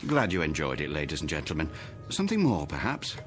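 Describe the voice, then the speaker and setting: A man's voice: very deep voice, wildly swooping pitch, fast talker, a bit bright, soft background music, full character voice. An actor playing a deferential male ship's steward with a polite, formal manner. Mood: courteous, attentive.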